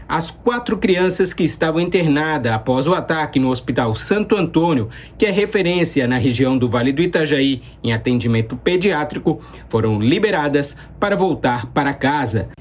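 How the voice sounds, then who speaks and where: A man in his thirties reads a news report in a measured, formal newsreader manner. His voice sits a little high for a man. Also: a little hiss or hum.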